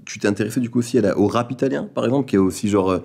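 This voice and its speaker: A man hosting a casual interview, asking quick conversational questions. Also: lowish voice, vocal fry, a little mellow, breathy edge. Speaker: interviewer host.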